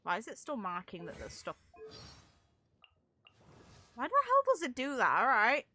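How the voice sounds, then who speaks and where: Bright-voiced woman streamer, chatty and excitable. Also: fairly high pitch, measured pace. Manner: chatty, thinking aloud, excitable. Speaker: game streamer. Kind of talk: casual gameplay commentary.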